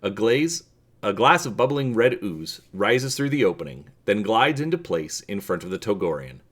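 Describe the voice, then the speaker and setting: A man reading a story aloud as a narrator, in an expressive, theatrical manner. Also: fairly low pitch, normal speed. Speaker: reader and channel host.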